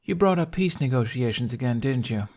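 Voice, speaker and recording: A man's voice: medium pitch, lively intonation, fairly quick, a little mellow, voice pushed up. A man voicing a tough, exasperated young warrior woman in a fantasy audio story, blunt and teasing. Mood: probing.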